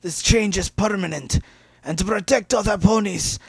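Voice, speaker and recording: A man voicing a stern, solemn old male pony adviser with a husky voice in a story reading. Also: high voice, moderate pace, no room sound, voice pushed up, full character voice. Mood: stern warning. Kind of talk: dramatic fan-fiction reading.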